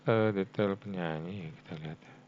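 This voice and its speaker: An Indonesian man giving a casual coding tutorial, thinking aloud in a halting way and often tagging phrases for his viewers. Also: low-pitched. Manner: halting, frequent "ya" tags addressing viewers as friends. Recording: dull lo-fi mic with faint hum.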